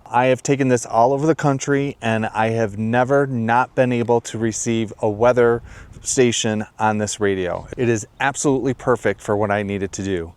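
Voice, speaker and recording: A man's voice: medium pitch, steady tone, normal speed, smooth. A man hosting a video, giving a casual, plainspoken product review and explaining things as he goes. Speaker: video host.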